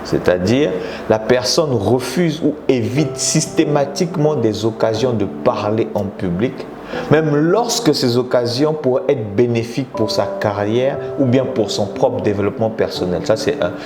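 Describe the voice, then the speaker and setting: A man's voice: medium pitch, normal speed. A man from Congo, a public speaking coach hosting a live stream, teaching in numbered points. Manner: teaching in numbered points, urging viewers to share. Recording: echoey room with loud guitar music behind. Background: loud guitar music.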